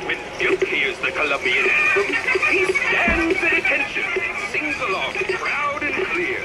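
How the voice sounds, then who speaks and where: Preachy boyish puppet, extremely high and slow, game propaganda show. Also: male voice, huge pitch swings, loud music behind the voice, heavily acted, poor audio. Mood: chirpy, preachy. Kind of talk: sing-song propaganda narration.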